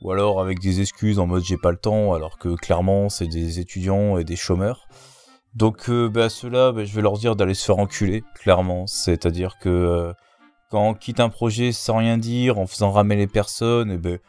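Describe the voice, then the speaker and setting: A man's voice: lowish voice, fairly even intonation, moderate pace, darkish tone, clear, resonant tone, faint music behind. A man giving blunt, ranting answers in a casual way.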